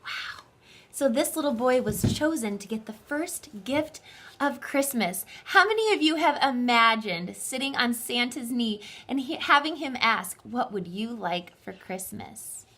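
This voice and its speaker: A woman reading a children's story aloud with dramatic, deliberate phrasing and questions put to her listeners. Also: high-pitched, lively intonation, pushed and bright. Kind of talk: expressive children's read-aloud with asides to the listeners.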